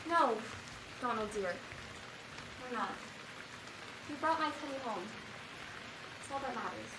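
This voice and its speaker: Young woman as a dotty high-pitched wife, echoey stage. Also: lively intonation, unhurried. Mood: long-suffering. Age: teen. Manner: fussy, melodramatic, mock-genteel. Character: dotty, doting wife and mother in stubborn denial. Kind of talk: high school stage comedy acting. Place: school theatre stage. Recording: echoey noisy stage, distant mic.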